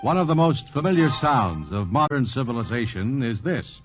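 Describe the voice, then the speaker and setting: A man's voice: mid-range voice, big pitch swings, moderate pace. A man narrating a scripted 1940s radio program as host, with a dramatic, polished announcer delivery. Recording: dull 1940s radio transcription. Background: loud music.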